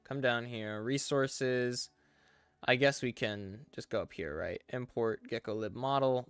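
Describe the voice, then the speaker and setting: A man's voice: medium pitch, smooth, dry recording. A young man casually chatting on a live stream, talking through each step as he works and reading chat aloud. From English-speaking. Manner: relaxed, talks through steps as he works, reads chat aloud.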